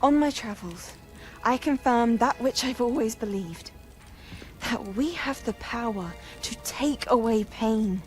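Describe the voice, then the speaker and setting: Resolute young witch, fairly high-voiced a woman acting. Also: unhurried, soft background music, close to an everyday voice. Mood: composed, resolute. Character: resolute, grieving young witch, softly intense and zealous. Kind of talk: cinematic fantasy game acting.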